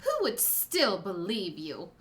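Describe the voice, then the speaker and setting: A woman's voice: on the low side, big pitch swings, slow pace, fairly bright tone, pitched below the performer's own voice, exaggerated voice. A woman voicing a proud, grieving samurai's daughter, declaiming a comic's lines with impassioned, vengeful emphasis. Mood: bitter grief. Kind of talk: amateur dramatic reading of a comic's dialogue.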